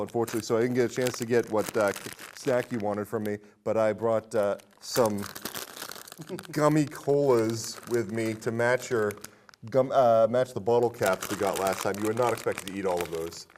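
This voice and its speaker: A man's voice: medium pitch, a little mellow, a little hiss or hum. A man hosting a casual film discussion in a chatty, jokey way. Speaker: podcast host.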